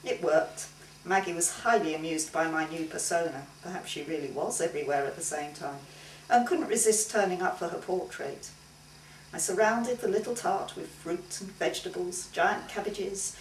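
A middle-aged woman reading a short story aloud as scripted first-person narration in a living room. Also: on the low side. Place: indoors in a living room at a home gathering. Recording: roomy, distant mic, faint hiss.

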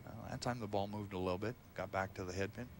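A man's voice: fairly low pitch, steady tone, fast. A man giving explanatory, technical colour commentary during a live bowling match. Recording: live crowd noise behind. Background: audience and hall noise.

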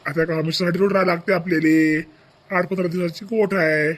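A man's voice: high voice, fairly even intonation, brisk, lightly acted. A man playing an exasperated village husband and son in a comedy cartoon, repeating himself insistently in a Vidarbha Marathi accent.

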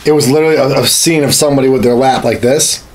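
Male podcast co-host, average pitch, relaxed conversational style, stretches out his anecdotes haltingly. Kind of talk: casual chat. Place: small home studio room, indoors.

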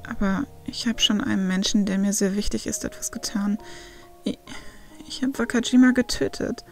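A woman's voice: medium pitch, level tone, natural voice. Woman voicing a timid, anguished young male werewolf. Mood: anguished, grieving. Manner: halting, timid, pleading. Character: frightened, guilt-ridden, grieving young male werewolf. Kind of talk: acted audiobook dialogue. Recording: faint music behind the voice.

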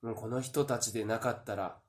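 A man's voice: medium pitch, fairly even intonation, fairly quick. A man giving a polite, earnest thank-you announcement as the director of a drama troupe. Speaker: troupe director and writer giving closing address.

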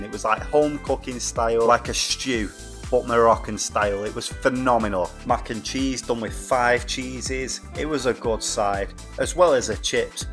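A man's voice: medium pitch, fairly bright tone. A man, reviewing a restaurant in a chatty, slangy food vlog voice-over. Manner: chatty, blunt, slangy and enthusiastic. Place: restaurant interior.

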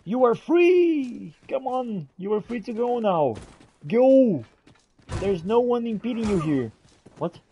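A man giving expressive, exclamatory in-character gameplay commentary, thinking aloud as he roleplays. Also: extremely high pitch, slow, a little hiss or hum. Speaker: gamer roleplaying as a character in a playthrough.

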